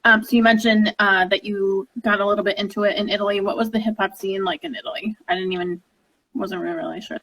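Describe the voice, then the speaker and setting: A woman's voice: medium pitch, steady tone, fast, a bit bright, low-quality recording. A woman hosting a live online interview, asking friendly questions in short prompts. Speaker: interviewer, host. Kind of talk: hosted interview, live stream.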